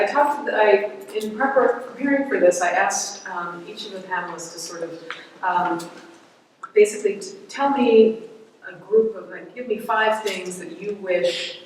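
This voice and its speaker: A woman leads a panel discussion in a loose, chatty way, full of ums. Her voice sits a little low. She is heard from a distance in a large hall with a long, echoing reverb. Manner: chatty, many fillers, laughs easily. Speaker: panel moderator. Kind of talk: casual panel moderation. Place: large event hall with an audience. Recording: echoey hall from far off, faint noise.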